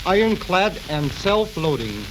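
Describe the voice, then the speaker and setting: A man playing a brisk, matter-of-fact lawyer in a 1930s radio comedy, emphatic and unsparing. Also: sits high, sing-song. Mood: grimly emphatic. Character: matter-of-fact lawyer bearing bad news. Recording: crackly, hissy 1930s radio recording.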